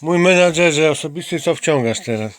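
A man's voice: a little high, lively intonation, normal speed, no room sound. A man hosting a casual homemade interview, talking in a playfully mock-formal way with repeated slangy plugs for his channel. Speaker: amateur channel host interviewing a companion. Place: small home computer room.

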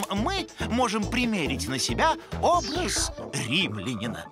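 An actor voicing a brainy, self-assured moose scientist in an educational children's cartoon, lecturing in a wordy, pedantic way. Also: male voice, high voice, full character voice.

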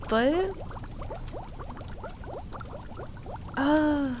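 A woman playing a cute, kind and teasing young alchemist girl in an ASMR roleplay, speaking softly and soothingly close to the mic. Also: a little high, a little hiss or hum. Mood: gentle, caring. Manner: soft, soothing, unhurried, playfully reassuring.

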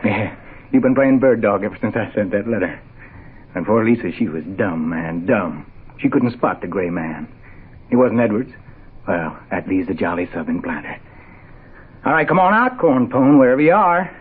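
A smug turncoat gunman from a sixties radio thriller. He needles his prey in hipster slang with a rough, husky drawl, low-set, through a thin, muffled old broadcast sound. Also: animated, normal speed. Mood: mocking. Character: smug, jive-talking defector spy turned gunman. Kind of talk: 1960s radio mystery acting. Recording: muffled, phone-like vintage radio recording.

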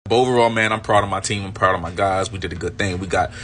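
A young man giving a casual, animated post-game review as a sports fan, rambling with frequent restarts. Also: sits low, fast talker, a little hiss or hum.

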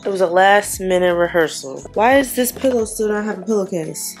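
Give me a young woman in her kitchen, filming herself at arm's length, casually explaining what she's cooking. She sounds relaxed and playful, sits at a middle pitch, and a little music plays softly in the background. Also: brisk. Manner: chatty, teasing, scolds her daughter bluntly. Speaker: mom vlogger. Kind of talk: casual vlog chat to camera. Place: home kitchen and backyard pool. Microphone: handheld camera at arm's length.

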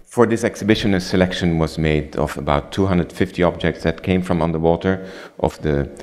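A man at a lectern in a darkened lecture hall answers audience questions in a measured way, pausing often with ums and restarts. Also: low-pitched, a little mellow. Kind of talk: lecture Q&A, explanatory.

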